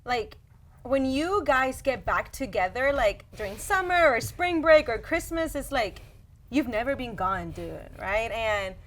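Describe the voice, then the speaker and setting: A woman's voice: a little high, very animated, unhurried. A young adult woman hosting a podcast, chatting in an encouraging way and often tagging her lines with "right?". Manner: warm encouraging, many "like" and "right?" tags. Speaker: podcast host. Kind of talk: casual heartfelt interview chat.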